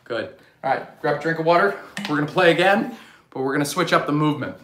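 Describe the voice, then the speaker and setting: High, bright, energetic male coach. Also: fast talker, slight background noise. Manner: energetic, coaching and counting down, calls out commands. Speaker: fitness coach. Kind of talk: live online gym class for kids. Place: bright living room, indoors. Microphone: camera across the room. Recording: echoey room.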